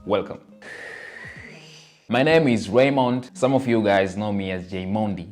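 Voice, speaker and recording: A man in his twenties from Tanzania, telling his life story to his channel in an earnest, animated way. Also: fairly low pitch, unhurried, soft background music. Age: 20s. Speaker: content creator. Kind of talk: personal storytelling to camera.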